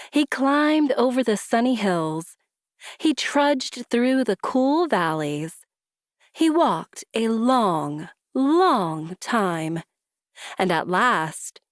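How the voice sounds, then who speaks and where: A woman reading a children's story aloud with deliberate, rhythmic, emphatic phrasing. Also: fairly high pitch, no echo, studio quality. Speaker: storybook reader. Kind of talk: expressive children's story narration.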